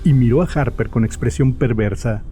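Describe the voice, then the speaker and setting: A man's voice: medium pitch, moderate pace, mellow. A man narrating a scripted horror story as the narrator of an audio drama. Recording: music under the voice.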